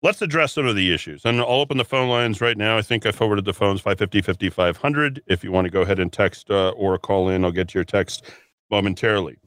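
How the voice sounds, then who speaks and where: A male radio host talks straight into a dry, close studio mic with a forceful, bright edge to his fairly low voice. He rambles and restarts his sentences as he argues, and he sniffles from a runny nose. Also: quick pace, no echo. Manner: combative, rambling, repeats words and restarts. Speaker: radio talk show host. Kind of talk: solo talk radio monologue.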